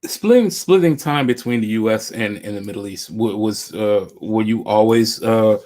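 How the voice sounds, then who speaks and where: A man's voice: fairly low pitch, normal speed, dark tone. A man hosting a casual interview show, feeling his way through questions with repeated and rephrased words. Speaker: host of a podcast web show.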